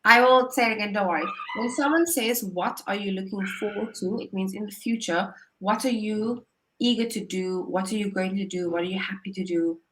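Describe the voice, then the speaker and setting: A woman's voice: mid-range voice, brisk, a bit bright, rough recording. A woman tutoring English over a video call, upbeat and encouraging, often laughing as she explains. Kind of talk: casual conversation lesson.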